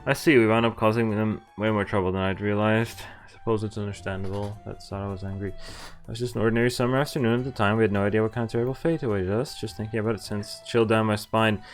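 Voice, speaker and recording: A man's voice: on the low side, fairly even intonation, fast, hardly any pauses. A man streaming a visual novel, reading the text aloud and adding casual commentary. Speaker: game streamer. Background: faint game music.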